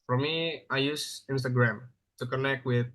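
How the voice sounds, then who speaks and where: A young man with an Indonesian accent, a university student giving prepared answers in a halting, careful way. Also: medium pitch, fairly even intonation, fairly bright tone. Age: young adult. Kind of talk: prepared question-and-answer speaking exercise.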